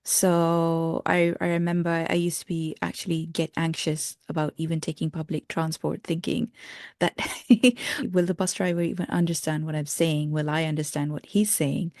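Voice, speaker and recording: A woman's voice: deep voice, steady tone, normal speed, darkish tone, no room sound, studio quality. A woman in her thirties from Pakistan with a Pakistani accent, a non-native English speaker telling her story as a friendly podcast guest who laughs often. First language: not English. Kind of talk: podcast interview.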